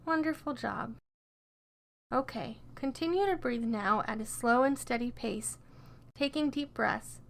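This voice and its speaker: A woman narrating a scripted guided meditation in a gentle, soothing and encouraging way. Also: fairly high pitch.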